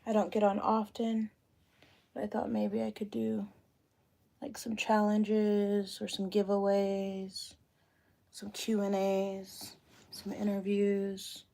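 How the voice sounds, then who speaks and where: A woman in her late thirties chatting casually on a phone livestream while doing her hair, relaxed and thinking aloud. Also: mid-range voice, slow pace, vocal fry. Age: 30s. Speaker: realtor livestreaming a Q and A. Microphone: phone camera.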